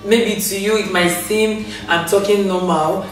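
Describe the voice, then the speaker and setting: A young woman talking casually to camera in a living room, chatty and expressive, laughing often, recovering from losing her voice. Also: mid-range voice, measured pace. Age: young adult. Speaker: vlogger. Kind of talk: casual storytime rant to camera. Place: indoor living room, on a grey sofa. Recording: echoey room, a bit far from the mic, faint music.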